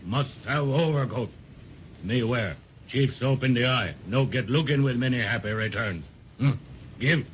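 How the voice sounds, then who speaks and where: Casting: man as stolid, stubborn carved wooden man, slow broken sentences and grunts, old radio play, muffled sound. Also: medium pitch, natural voice. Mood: stubborn, demanding. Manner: stilted broken English, grunting hums. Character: gruff, stubborn wooden cigar-store Indian man, monosyllabic. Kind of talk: 1930s children's radio serial acting. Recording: dull, noisy 1930s radio transcription.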